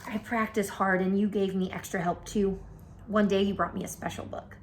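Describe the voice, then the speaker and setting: A woman narrates a children's story aloud in an expressive read-aloud style. Her voice sits at a medium pitch. There is some faint noise behind her. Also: moderate pace. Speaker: storyteller reading a picture book aloud.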